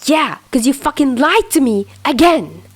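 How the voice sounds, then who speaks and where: A woman voicing a hot-tempered, hurt teenage boy in a story reading, shouting sharply and confrontationally. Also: sits high, very animated, unhurried, voice pushed up. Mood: angry, hurt.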